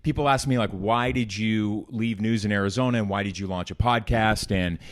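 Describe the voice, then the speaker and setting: An earnest man hosting a podcast, speaking in a somewhat low voice. He talks in long, run-on stretches as he draws out his guest's story. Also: brisk. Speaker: podcast host. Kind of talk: conversational interview.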